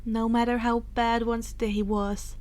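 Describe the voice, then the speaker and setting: A woman narrating a story in a dramatic, expressive storybook style, with some phrases breathy and hushed. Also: fairly high pitch, unhurried. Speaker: fan fiction narrator.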